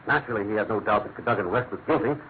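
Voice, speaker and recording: An actor playing a distraught gentleman colonel in a classic radio drama, stiff and formal. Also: fairly low pitch, very fast, lightly acted. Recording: tinny, faintly noisy vintage radio.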